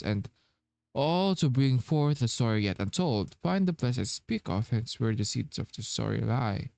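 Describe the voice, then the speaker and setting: Guy playing a game, slow offhand talk, kinda hesitant, game music faint behind. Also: mid-range voice. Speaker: gamer playing through a story quest. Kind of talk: casual gaming commentary, reading dialogue aloud.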